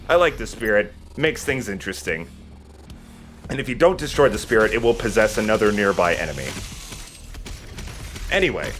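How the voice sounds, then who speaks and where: A man with a fairly low voice talks through gameplay in a casual, explanatory way, like a let's play host. There is faint noise and game ambience behind him. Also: fairly bright tone.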